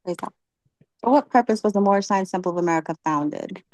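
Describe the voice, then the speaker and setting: A woman leading a religious class, asking catechism questions and encouraging her students, often pausing with ums. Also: mid-range voice, lively intonation, a little mellow, dry recording. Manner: encouraging, halting with many ums.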